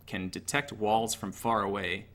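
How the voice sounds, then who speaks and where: A man's voice: lowish voice, fairly even intonation, a little slow, fairly bright tone, slight background noise. A man giving an informal, technical tutorial over gameplay in a matter-of-fact, analytical way. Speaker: gaming tutorial narrator.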